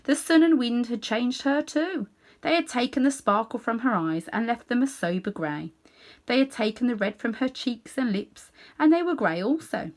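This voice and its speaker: A woman reading a children's story aloud in an animated way, with dramatic pauses and hushed little asides. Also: a little high. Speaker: storybook reader.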